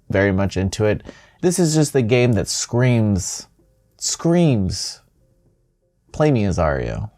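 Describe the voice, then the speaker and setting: A man's voice: fairly low pitch, warm tone. A man hosting a gaming news show, reading scripted headlines with casual, playful and self-deprecating asides. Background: music.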